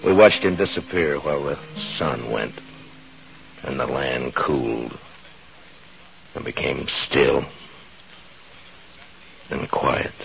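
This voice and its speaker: An actor playing a weary, fair-minded frontier marshal in a measured, grave way, in 1950s radio western style. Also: male voice, very deep voice, gravelly, fairly bright tone, faint music behind, exaggerated voice, poor audio. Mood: somber narration. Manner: measured, grave, hesitant pauses. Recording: vintage 1950s radio drama recording.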